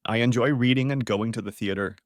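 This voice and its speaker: A man teaching beginner English, reading short model sentences clearly and saying each one twice. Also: mid-range voice, dry recording, clean studio sound. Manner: says each phrase twice, clearly and deliberately.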